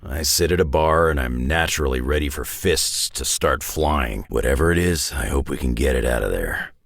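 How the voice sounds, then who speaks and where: An actor playing a gruff, cocky, battle-hardened brawler in a video game, with a raspy growl and a dry, sardonic delivery. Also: male voice, extremely low pitch, normal speed, fairly bright tone, no room sound, full character voice. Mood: wry, low-key.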